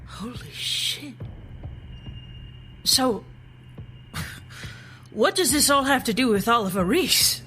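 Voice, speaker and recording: An actress playing a sharp, skeptical government agent in an audio drama, asking blunt, incredulous questions. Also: female voice, a little high, expressive, slow, long pauses, faint music behind. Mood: shocked, disapproving. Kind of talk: naturalistic audio drama acting.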